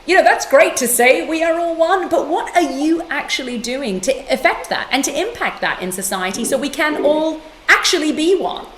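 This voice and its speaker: A middle-aged woman from London, speaking as an interview guest in a passionate, emphatic way with quick restarts. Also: sits high, lively intonation, fairly bright tone, echoey room, a little hiss or hum. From London, England. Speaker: guest author and yoga teacher. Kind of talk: conversational interview.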